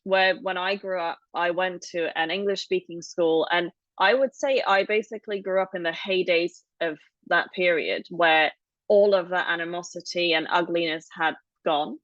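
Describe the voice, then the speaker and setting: A woman's voice: on the low side, steady tone, normal speed, fairly bright tone, no echo, muffled recording. A woman in her thirties from Hong Kong, co-hosting a podcast and telling her family's story in a thoughtful, explanatory way. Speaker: podcast co-host. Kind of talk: casual podcast conversation.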